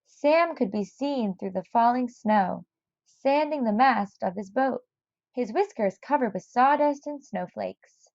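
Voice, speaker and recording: A woman reading a children's story aloud in an expressive narrating style. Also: a little high, no room sound, dull audio. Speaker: storybook reader.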